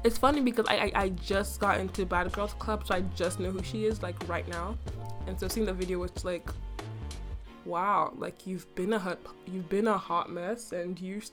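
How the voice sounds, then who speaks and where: A young adult woman hosting a casual solo podcast, her voice slightly raspy from a cough, chatty and full of fillers with playful boasting. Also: medium pitch, fairly even intonation, normal speed, faint music behind. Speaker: podcast host.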